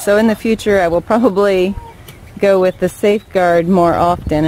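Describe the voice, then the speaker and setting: Woman, backyard chicken keeper, matter-of-fact, casual vlog, outdoor camera audio, faint noise. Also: fairly low pitch. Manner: plain, matter-of-fact explaining with ums.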